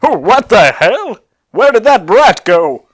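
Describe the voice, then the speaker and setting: Man doing a high, exasperated android villain. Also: very animated, slightly rough, dry recording, heavily acted. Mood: indignant confusion. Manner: drawn-out, indignant exclamations. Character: Cell, cocky android villain, loud and exasperated. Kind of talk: amateur fan fiction reading, anime villain voice.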